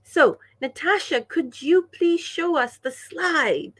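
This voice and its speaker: A woman's voice: extremely high pitch, expressive, slow pace, fairly bright tone. A woman from Pakistan leading an online language workshop over a video call, enthusiastic and chatty, often checking in with the group. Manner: enthusiastic, chatty, frequent "yeah?" check-ins. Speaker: workshop leader.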